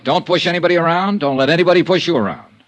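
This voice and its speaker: An American actor playing a tough, shrewd insurance investigator in a 1950s radio drama, brisk and commanding. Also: male voice, fairly high pitch, close to an everyday voice. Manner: brisk, commanding, clipped delivery. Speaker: lead actor and narrator. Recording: vintage radio recording with faint noise.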